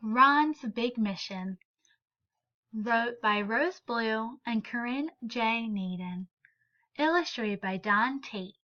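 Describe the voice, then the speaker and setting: A woman reading a children's picture book aloud in an expressive, deliberate and emphatic way. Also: a little high, lo-fi sound. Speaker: read-aloud storyteller.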